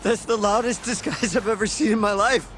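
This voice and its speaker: An actor playing a cheeky young friend in a video game cutscene, light, informal and teasing. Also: male voice, sits high, fairly even intonation, brisk, a bit bright, slight background noise. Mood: amused, teasing. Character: cheeky young male tech-savvy friend, amused teaser.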